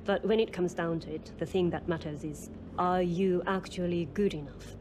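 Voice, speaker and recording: A woman's voice: fairly low pitch, steady tone, normal speed, noisy background, lightly acted. A woman playing a serious, competitive lead racing driver in documentary-style game scenes, speaking matter-of-factly. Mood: firm, serious. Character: guarded, competitive female lead racing driver in her prime.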